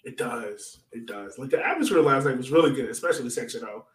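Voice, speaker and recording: A man chats about soccer as a podcast host, informal and excitable, full of slang. His voice sits at a middling pitch. The recording is quite echoey, as if he is a bit away from the microphone. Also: fast. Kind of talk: casual fan chat about soccer. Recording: roomy echo, distant from mic.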